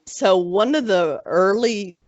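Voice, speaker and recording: A woman in her forties hosting a podcast in casual chat, chatty and quick to laugh. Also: medium pitch, no echo. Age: 40s. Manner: chatty, laughs often, trails off. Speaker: podcast host. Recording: dry, dull lo-fi audio.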